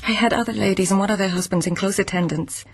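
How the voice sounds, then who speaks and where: A woman acting in a radio drama as a gentle, loyal lady of the court, earnest and careful, with a softly husky voice. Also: medium pitch, quick pace. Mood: deferential, steadfast.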